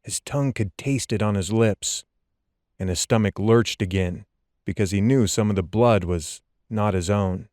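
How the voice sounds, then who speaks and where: A man narrating suspense fiction as an audiobook, in a tense, deliberate and dramatic storytelling style. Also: on the low side, a little mellow, no room sound, clean studio sound.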